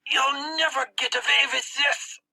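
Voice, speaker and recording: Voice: high-pitched, steady tone, unhurried, vocal fry, pushed and bright, pitched above the performer's own voice, exaggerated voice. A narrator voicing a snarling, cornered teenage boy in an audiobook, with a strained, emphatic delivery. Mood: defiant. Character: Max, snarling Hitler Youth teenage boy, cornered, defiant. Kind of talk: audiobook character voice.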